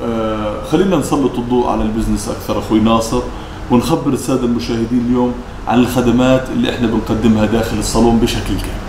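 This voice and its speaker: A man's voice: mid-range voice, steady tone, very fast. A man with a Levantine Arabic accent hosting a business interview, polite and effusive with his guest. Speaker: interviewer, show host. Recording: roomy, echoing, clearly noisy background.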